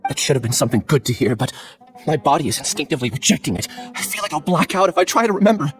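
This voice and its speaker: An actor playing a troubled, self-doubting young amnesiac scholar in a video game, hesitant and earnest. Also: male voice, on the high side, very animated, racing, faint music behind. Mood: subdued, apologetic. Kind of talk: scripted video game dialogue.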